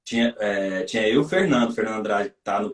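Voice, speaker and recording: A man's voice: lowish voice, quick pace. A Brazilian man, a footballer, talking casually in an interview, rambling and repeating himself with many restarts. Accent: Brazilian Portuguese. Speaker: footballer guest. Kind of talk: casual interview over a live stream. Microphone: video call. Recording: roomy and far from the mic.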